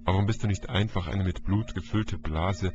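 A man's voice: low voice, moderate pace, lightly acted. A man voicing an exultant, vicious murderer who gloats over his victim, in a dramatic audiobook reading. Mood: gloating. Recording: dull, band-limited recording. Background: music.

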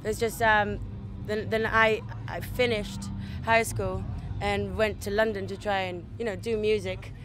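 A woman's voice: medium pitch, little pitch movement, a little slow, few pauses, music in the background. A young woman musician talking casually in an interview, from a childhood spent in many countries. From born in Spain, grew up in many countries. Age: young adult. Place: outdoors at a table by a trailer. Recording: clear outdoor ambient noise.

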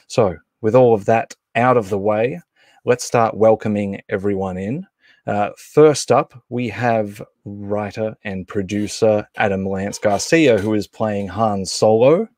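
Low, dry-sounding man hosting a livestream. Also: unhurried, darkish tone, no echo. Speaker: livestream host. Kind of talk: casual livestream introduction and announcements.

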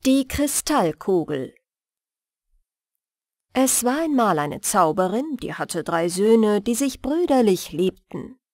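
A woman reading a fairy tale as a bedtime audiobook, in a measured, soothing manner. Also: medium pitch, big pitch swings, darkish tone, no room sound, clean studio sound. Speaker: storyteller and audiobook reader.

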